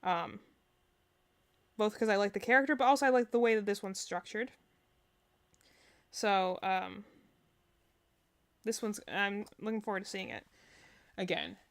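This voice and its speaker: A woman's voice: mid-range voice, measured pace, long pauses. A woman giving casual, gushing live-stream reactions to game trailers. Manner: enthusiastic, gushing.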